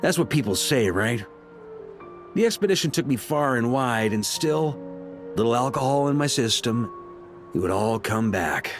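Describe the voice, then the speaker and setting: A man's voice: medium pitch, expressive, normal speed, lightly acted. An actor playing an easygoing male knight in a video game, talking in a casual, wry and reflective way over background music. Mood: reflective, sincere.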